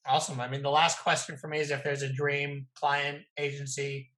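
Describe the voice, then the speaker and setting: Male interviewer, somewhat high voice, relaxed questions, video call. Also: a little fast.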